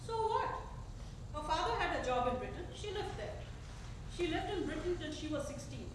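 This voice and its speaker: A woman in a staged reading, playing an unwell, grieving middle-aged mother who is quietly defiant and bitter. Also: very high-pitched, expressive, normal speed. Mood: reproachful. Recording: echoey theater from far off, faint noise.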